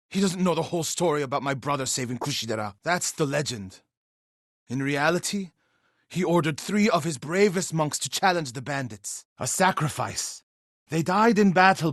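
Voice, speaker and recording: An actor playing an earnest, devout warrior monk in a video game, solemn and formal, loyal and carrying grief, with a husky voice. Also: male voice, on the high side, a bit bright, no echo, clean studio sound. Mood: grieving, conflicted. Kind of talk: video game voice acting, dramatic dialogue.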